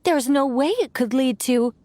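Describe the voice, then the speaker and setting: A woman playing a whimsical young witch in a video game, self-assured and breezy, musing in a gently theatrical way. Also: high-pitched, moderate pace, a little hiss or hum, natural voice. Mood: puzzled.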